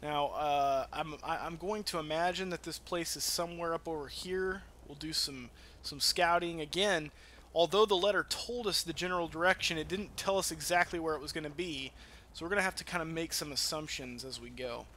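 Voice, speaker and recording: A man's voice: a little high, brisk, sharp tone, a little hiss or hum. A man giving casual, rambling let's play commentary, with some swearing. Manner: rambling, profane. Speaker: gaming video host.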